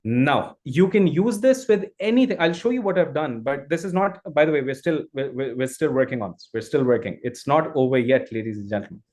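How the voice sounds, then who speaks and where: A male trainer whose first language is Urdu, giving an energetic live online demo and often repeating and restarting his phrases. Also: medium pitch, brisk, hardly any pauses.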